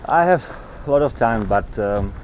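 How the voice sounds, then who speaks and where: A man who is not a native English speaker, rambling haltingly as he vlogs on a walk, searching for words and repeating himself. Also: medium pitch, expressive. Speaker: vlogger. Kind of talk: rambling walk-and-talk monologue on ancient texts. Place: outdoors on a mountain trail. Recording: noisy outdoor handheld recording with clicks.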